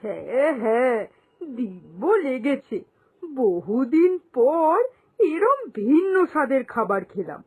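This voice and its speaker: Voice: medium pitch, lively intonation, slow, husky, full character voice. A performer voicing a weary, easygoing office-going husband in a moral-story cartoon, homely and plain in manner. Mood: content.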